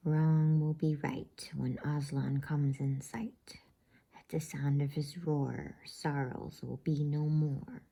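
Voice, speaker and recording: Storybook beaver, male, earnest and folksy, played by a woman: breathy whisper, creaky edge, measured delivery. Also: low voice, steady tone, warm tone, heavily acted. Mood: reverent. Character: kindly, emphatic male talking beaver, reverent and folksy. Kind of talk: whispered bedtime storybook reading.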